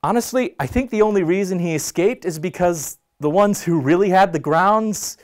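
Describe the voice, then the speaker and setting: A man performing a theatrical comic monologue as an exasperated man, talking in a halting, incredulous, conversational way. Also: sits high, close to an everyday voice. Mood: resentful disbelief. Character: exasperated man recounting an annoying houseguest's antics.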